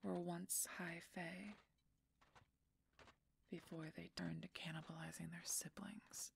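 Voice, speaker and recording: A woman's voice: fairly low pitch, steady tone, takes it slowly, gravelly, a little hiss or hum, exaggerated voice. A woman playing a guarded, brooding female werewolf knight in a fantasy audio roleplay, terse and often murmuring in a whisper. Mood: guarded, wry.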